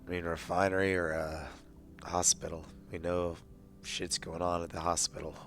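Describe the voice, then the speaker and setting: A man with a very deep voice and little melody talks through plans in a tabletop game. He is laconic and plain-spoken, recorded with some background noise. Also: little pitch movement, moderate pace, fairly bright tone. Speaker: tabletop roleplaying player. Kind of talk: in-character game discussion.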